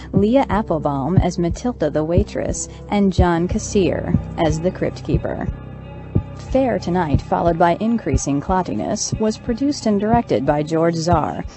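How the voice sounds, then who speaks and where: A woman's voice: on the low side, dark tone. A woman series announcer reading scripted credits for a radio drama.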